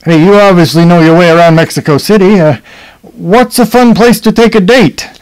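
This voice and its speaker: A man in a radio play acting a smooth, pushy married lawyer who flirts in a hesitant, coaxing way. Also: high voice, normal speed, a little mellow, lightly acted, rough recording. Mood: coaxing, eager.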